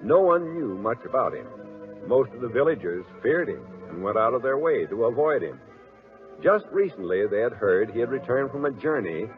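A man hosting and narrating a children's radio show in an avuncular, theatrical 1940s announcing and storytelling style. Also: mid-range voice. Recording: dull old radio recording.

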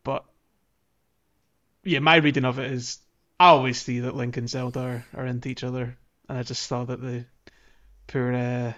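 A man's voice: mid-range voice, flat delivery, moderate pace, long pauses, fairly bright tone, dull audio. A man co-hosting a podcast, chatting casually about a video game. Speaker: podcast co-host.

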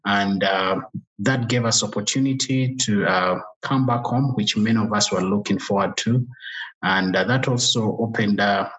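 Low-voiced man. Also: a bit bright, highs cut off. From Uganda. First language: Luganda. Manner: measured, pauses often with "uh". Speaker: guest. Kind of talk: interview conversation.

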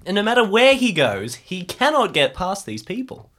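A man's voice: high voice, wildly swooping pitch, moderate pace, a bit bright. A man talking casually as a cast member of an audio drama podcast, joking and improvising a story.

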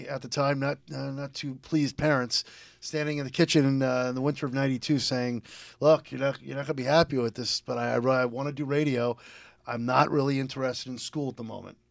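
A man's voice: mid-range voice, vocal fry, dry recording. A man in his fifties from Connecticut, a radio guest telling personal stories in a talkative, rambling interview style. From Connecticut, United States. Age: 50s. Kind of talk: casual interview, personal storytelling.

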